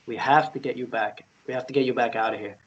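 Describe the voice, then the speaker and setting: An actor playing a troubled man in a fantasy romance table read, halting and repeating himself. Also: medium pitch, fairly even intonation, recorded from a distance, muffled recording, lightly acted. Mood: urgent. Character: troubled, uneasy man rescuing an injured woman.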